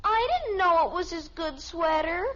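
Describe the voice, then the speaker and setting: Mischievous young tomboy daughter, extremely high voice, innocent and literal, muffled vintage radio sitcom. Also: female voice, normal speed, a bit bright. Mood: defensive, innocent. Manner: sheepish, literal-minded excuses. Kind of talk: 1950s radio sitcom acting. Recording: muffled, hissy old radio broadcast.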